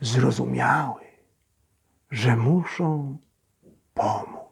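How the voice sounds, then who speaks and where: A man narrates a storybook in a gravelly, husky voice. His delivery is slow and theatrical, with suspenseful pauses between phrases. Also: mid-range voice. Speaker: storyteller, actor reading a children's audio story. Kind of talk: dramatic scripted storybook narration.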